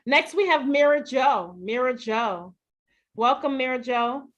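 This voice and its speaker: A woman moderating a panel on a video call, briskly and politely introducing each speaker. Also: sits high, animated, dull audio.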